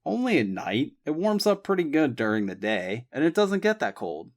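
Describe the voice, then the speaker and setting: Guy reading a laid-back ex-military dude, even and steady. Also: a little high, normal speed, pitched above the performer's own voice. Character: calm confident ex-military man, easygoing.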